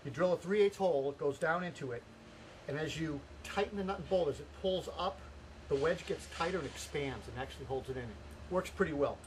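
A man's voice: a little high, a little fast. A man in his forties giving an informal, plain-spoken how-to as a carpenter, explaining the job step by step. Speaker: carpenter hosting a home improvement series. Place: outdoors in a house yard. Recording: noisy outdoor camera audio with ambient sound.

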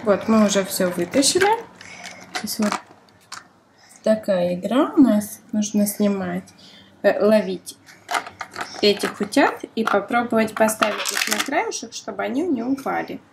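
A woman's voice: mid-range voice, expressive, unhurried, vocal fry, pauses often, noisy background. A woman chatting playfully to a child as she demonstrates a toy, full of exclamations, oohs and gasps. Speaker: toy review host, mother playing with her child.

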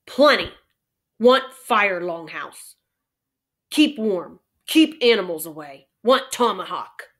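A woman's voice: mid-range voice, animated, slow. A woman voicing a small, proud male warrior who speaks in short, clipped phrases. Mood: demanding.

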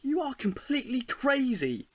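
A man's voice: extremely high pitch, very animated, normal speed, no room sound, exaggerated voice. A man playing an exasperated, scornful, sarcastic housemate in an audio drama. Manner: sarcastic, incredulous. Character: exasperated, scornful, sweary young male housemate.

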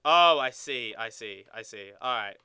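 A man's voice: mid-range voice, lively intonation, a little slow, sharp tone, smooth, dry recording, studio quality. A young man co-hosting a gaming video with casual, playful banter and crude jokes. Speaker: let's play co-host.

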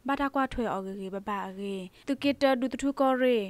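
A woman's voice: fairly high pitch, moderate pace, dry recording. A woman presenting the news, reading from a script as a radio newsreader.

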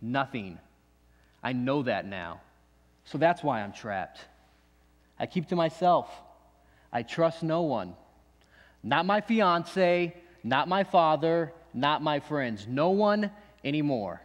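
Guy acting as a haunted, distrustful man who keeps himself locked up, slow halting talk, keeps repeating, echoey hall. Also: on the high side, very animated, muffled recording. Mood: grieving, hurt. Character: bitter, distrustful restrained man, haunted by abuse. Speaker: actor in a monologue. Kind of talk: dramatic stage monologue. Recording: long echoey hall reverb.

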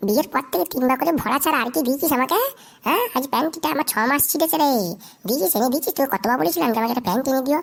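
A woman's voice: high voice, gravelly, slight background noise. A woman voicing a scolding, crude young village wife in a comedy cartoon. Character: angry, foul-mouthed young Bengali village wife.

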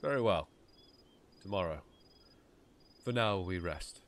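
A man's voice: low-pitched, animated, slow pace, a bit bright, full character voice. A man playing a weary, solemn warrior king in an audiobook, speaking formally. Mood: weary, grave. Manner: formal, stately.

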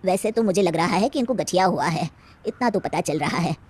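A woman voicing a middle-aged, authoritative cartoon doctor with a measured, explanatory manner. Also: fairly low pitch, expressive, darkish tone, husky. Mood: grave. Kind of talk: Hindi cartoon moral-story acting.

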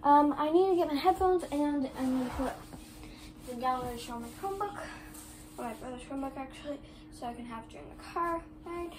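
A woman's voice: sits high, a little fast. Chatty young girl vlogging in her bedroom. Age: 11, child. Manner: rambling, chatty, many "like" fillers. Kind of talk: casual vlog chat. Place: bedroom, indoors. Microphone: phone camera on desk. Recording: noisy room ambience.

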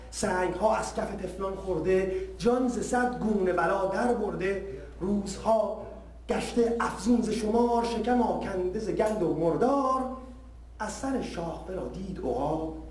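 High-voiced man reciting in an echoey hall. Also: darkish tone. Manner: measured, declamatory, verse by verse. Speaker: poetry reciter. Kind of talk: dramatic recitation of a narrative poem. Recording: distant, echoey hall, faint noise.

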